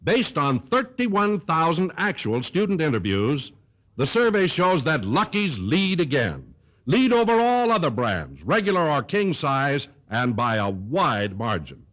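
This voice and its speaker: An American man with a husky voice announcing and reading commercials in 1950s radio style. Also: a little high, big pitch swings, measured pace, fairly bright tone, poor audio.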